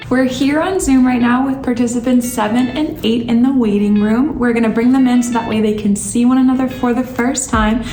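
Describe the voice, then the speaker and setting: A woman with a high, bright voice hosts with upbeat, presenter-like energy. The room rings around her, and faint music sits underneath. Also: fairly even intonation, fairly quick, hardly any pauses, reverberant. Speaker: host. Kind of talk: scripted show intro.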